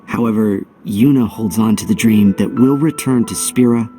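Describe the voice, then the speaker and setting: Male actor as young video game hero, mellow tone, earnest, wistful, resolved, reflective, soft music underneath. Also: lowish voice, moderate pace, natural voice. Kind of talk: dramatic video game cutscene acting.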